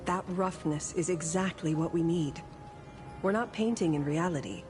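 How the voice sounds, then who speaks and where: A woman playing an ancient, composed painter in a video game, reassuring and knowing, with assured, measured phrasing. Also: on the low side, darkish tone, soft background music, lightly acted. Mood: determined.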